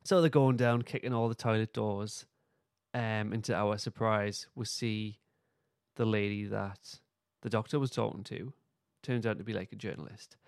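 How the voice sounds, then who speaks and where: A man's voice: fairly low pitch, fairly even intonation, moderate pace, no room sound, clean studio sound. A man hosting a podcast, casually recapping and discussing in an informal way. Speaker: podcast host.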